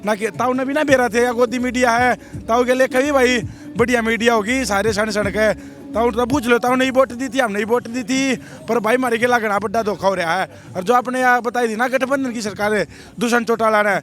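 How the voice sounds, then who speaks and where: A young man speaks with a Haryanvi accent, his very high voice strained and hoarse. He talks bluntly and defiantly, rambling from point to point like a farmer answering a reporter in the street. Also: a bit bright. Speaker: protesting farmer. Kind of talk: outdoor street interview.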